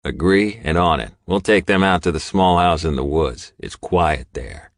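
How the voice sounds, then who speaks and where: Man as a grim, low-voiced biker lieutenant. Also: normal speed, dry recording, clean studio sound, lightly acted. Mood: businesslike. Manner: terse, tough, blunt. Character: grim, matter-of-fact male outlaw biker lieutenant.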